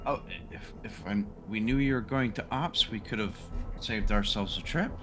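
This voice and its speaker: Low-voiced man playing a tabletop game. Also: moderate pace, noisy background. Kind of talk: casual game-table chat.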